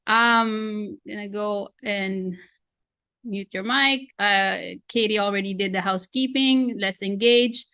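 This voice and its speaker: A woman's voice: medium pitch, slow, pushed and bright, no room sound. A woman in her forties with a Filipino accent, chatty and self-deprecating, coaching a webinar over a video call. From Philippines. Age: 40s. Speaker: real estate coach. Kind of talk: online training webinar.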